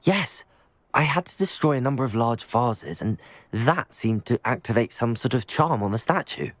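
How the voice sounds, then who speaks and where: Young wizard student for a fantasy game, voiced by a man, polite and curious, with a lively, expressive delivery. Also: medium pitch, normal speed, no echo, lightly acted. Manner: mild, earnest, talks to himself.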